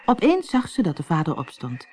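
An audiobook narrator reading a children's fairy tale in an expressive, clearly paced storytelling manner. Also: mid-range voice, brisk.

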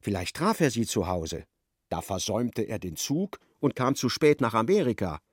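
A man's voice: fairly low pitch, sing-song, moderate pace, slightly rough, dry recording, clean studio sound. A man reading scripted literary narration as the narrator of a radio play.

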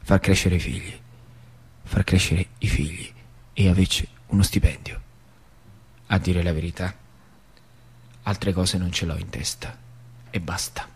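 An actor playing a plainspoken, wistful older factory worker with a central Italian, Lazio accent, in a theatrical monologue with colloquial repetitions. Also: male voice, deep voice, fairly even intonation, measured pace, long pauses, slightly rough, full character voice. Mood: wistful, brooding. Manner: colloquial, repetitive, dramatic swings from emphatic to hushed. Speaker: stage actor.

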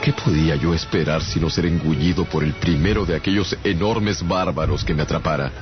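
A man's voice: rumbling low, steady tone, a little fast. A man giving theatrical, scripted first-person narration in a literary radio novel. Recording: muffled radio drama with music behind.

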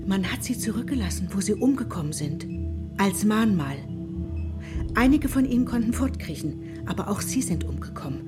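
A woman's voice: medium pitch, animated, normal speed, over music, natural voice. A woman playing a cold dismissive mother. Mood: lecturing. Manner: curt, disdainful, lecturing. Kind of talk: radio play acting.

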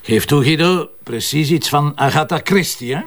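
An actor playing a wry, teasing police commissioner in a crime drama, with a Flemish accent. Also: male voice, a little high, moderate pace, a bit bright. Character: joking, self-assured male police commissioner.

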